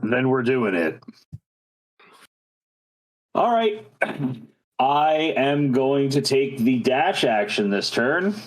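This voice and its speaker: A man's voice: medium pitch, sing-song, extremely slow, pauses often, poor audio. A man chatting casually as a player in a tabletop roleplaying game.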